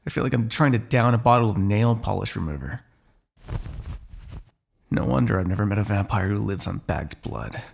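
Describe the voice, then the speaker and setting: A man's voice: fairly low pitch, moderate pace, creaky, long pauses, darkish tone. A man playing a sardonic, foul-mouthed vampire on the run in an ASMR roleplay, talking in a conversational, exasperated way. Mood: disgusted.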